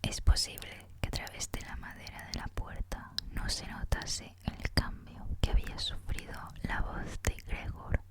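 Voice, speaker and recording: A woman reads a book aloud in ASMR style, in a breathy, unvoiced whisper with a soft, unhurried manner. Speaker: reader, host of a reading series.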